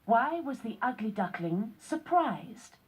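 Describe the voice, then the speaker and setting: A woman giving scripted narration on a children's read-along, with a deliberate, instructional storyteller delivery. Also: fairly high pitch, very animated, slightly rough.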